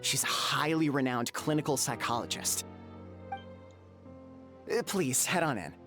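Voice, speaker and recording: A man's voice: medium pitch, expressive, slow. A man voicing an eager, apologetic young bathhouse owner in a video game, with a hesitant, polite manner. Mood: earnest. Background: music.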